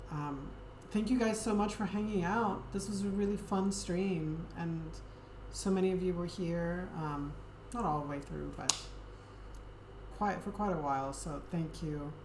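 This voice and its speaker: A woman hosting a livestream, talking casually to her viewers in a soft, friendly way and often pausing on an um. Her voice sits low. It echoes around the room over a steady background noise. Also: gravelly. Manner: soft, friendly, hesitant with many ums. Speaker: Twitch streamer.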